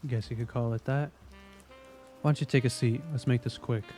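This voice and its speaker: A man plays a seasoned police investigator in an audio drama, jaded and sardonic. His mellow, dark-toned voice has a casual, needling delivery over faint music. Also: medium pitch, fairly even intonation, normal speed, close to an everyday voice. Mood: weary, flustered.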